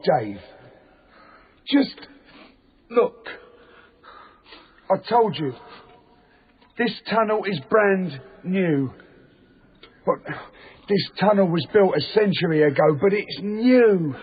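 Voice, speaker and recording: An actor playing an earnest, insistent tunnel worker in a radio drama, urgent and full of questions. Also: male voice, high-pitched, a little hiss or hum. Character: earnest, insistent male tunnel worker reasoning through panic. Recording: tinny band-limited radio broadcast.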